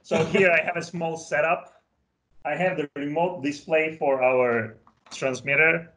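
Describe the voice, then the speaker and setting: A man's voice: fairly high pitch, steady tone, unhurried, bright, forceful tone, rough recording. Man, 30s, Turkish native raised in Bulgaria, patient technical explainer on a webcam call from a home office. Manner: careful, explanatory, handles equipment while talking. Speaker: product specialist guest. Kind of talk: technical demo and interview talk. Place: small home office, indoors. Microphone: webcam on video call.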